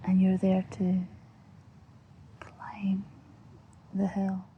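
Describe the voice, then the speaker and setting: A Scottish woman speaks softly and breathily, often in a whisper, gently and soothingly guiding an ASMR visualisation with long pauses. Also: medium pitch, monotone. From Scotland. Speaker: ASMR host. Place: outdoors, lying on grass. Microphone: close-up. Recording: muffled lo-fi outdoor recording, faint noise.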